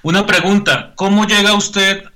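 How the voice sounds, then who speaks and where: A man's voice: high-pitched, fairly even intonation, normal speed, bright, forceful tone. A man, politely moderating a panel on a video call. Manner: polite, formal address. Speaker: program director and moderator.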